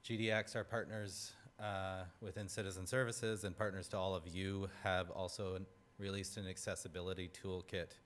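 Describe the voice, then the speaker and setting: Conference host guy, really low voice, upbeat and casual, lots of uhs and ums, little bit of room hiss. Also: level tone, normal speed, fairly bright tone. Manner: casual and upbeat, with frequent filler words. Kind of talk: event introduction.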